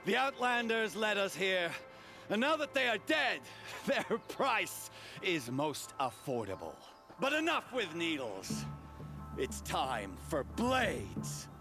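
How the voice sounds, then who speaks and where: An actor playing a sneering, theatrical high priest villain in a video game, pompous and mocking. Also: male voice, huge pitch swings, slow pace, over music, exaggerated voice. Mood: gloating menace. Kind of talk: dramatic video game acting.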